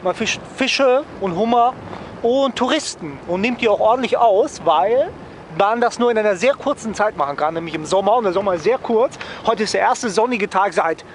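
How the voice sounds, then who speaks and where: Male travel vlogger, raised pitch, wry off-the-cuff chat, recorded outdoors with faint background noise. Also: lively intonation, moderate pace. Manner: wry, joking, often ends phrases with a questioning "ne?". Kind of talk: casual, rambling vlog chat to viewers.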